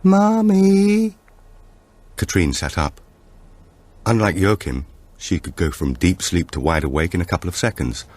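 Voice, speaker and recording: A man narrates a thriller audiobook in a very deep voice. His delivery is measured and dramatic, with pitch that moves expressively across the sentences. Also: lively intonation, slightly rough, no room sound.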